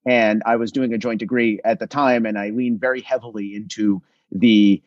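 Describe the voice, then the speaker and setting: A man's voice: on the low side, steady tone, moderate pace, dry recording, dull audio. A male policy expert, born in Israel and raised in New England, whose first language is Russian. He answers in a self-deprecating, conversational way with many restarts. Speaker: think tank policy expert, guest.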